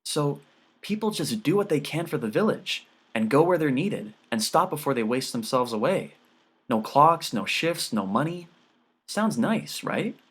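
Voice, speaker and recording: A man's voice: fairly high pitch, big pitch swings, a little slow, fairly bright tone, breathy edge, pitched above the performer's own voice, full character voice. Man voicing a keen, earnest student daughter. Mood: earnest explaining. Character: polite, keen college-age daughter, earnest and bright-minded.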